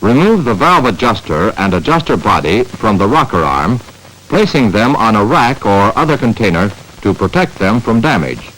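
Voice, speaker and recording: Lively-pitched American man, 1950s training film narrator. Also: rough recording. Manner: measured, instructional, emphatic. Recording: vintage 1950s film soundtrack.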